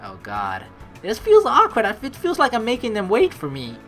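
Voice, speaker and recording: A woman's voice: fairly high pitch, wildly swooping pitch, moderate pace. A woman doing casual let's play commentary, rambling as she thinks aloud and reading game text, with some casual swearing. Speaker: let's player. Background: game music.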